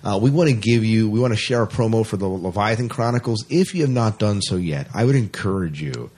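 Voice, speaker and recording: A man hosting a podcast in casual chat, speaking in a conversational, slightly halting and repetitive way. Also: lowish voice, expressive, darkish tone, slightly rough. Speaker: podcast host.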